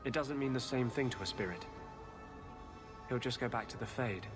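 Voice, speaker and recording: An actor playing a troubled, earnest rebel mage in a fantasy game, heavy-hearted and resigned in manner. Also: male voice, mid-range voice, normal speed, music in the background, close to an everyday voice. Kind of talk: dramatic game dialogue.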